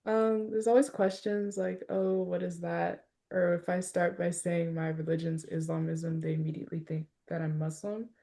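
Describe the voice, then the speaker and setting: A woman's voice: on the low side, flat delivery, normal speed, creaky, few pauses, mellow. A young woman, a college freshman, answering catechism questions promptly in a religious class. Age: young adult. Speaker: class student.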